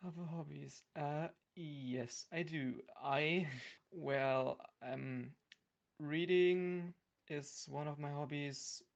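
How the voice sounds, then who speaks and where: Young man, slow and very creaky. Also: on the high side. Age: young adult. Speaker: podcast guest, university student. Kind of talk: casual chat.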